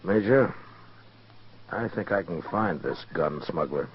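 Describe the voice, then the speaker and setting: An actor playing a steady, determined, dry and plain-spoken marshal in a 1950s radio western. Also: male voice, low voice, slow pace, a little hiss or hum. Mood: thoughtful, firm. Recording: dull lo-fi 1950s radio recording.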